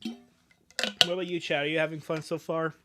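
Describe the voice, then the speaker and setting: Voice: mid-range voice, level tone, moderate pace, very noisy. A streamer chatting casually with viewers in a self-mocking, giggly way, swearing now and then. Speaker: livestreamer. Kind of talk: casual livestream chat, joking with viewers and reading their comments.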